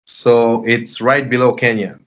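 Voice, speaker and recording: He is a man in his twenties from Tanzania with a middle-of-the-range pitch. A native speaker of Sinhalese and Swahili, he talks casually in an interview as a bilingual rapper. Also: flat delivery, unhurried, fairly bright tone. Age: 20s.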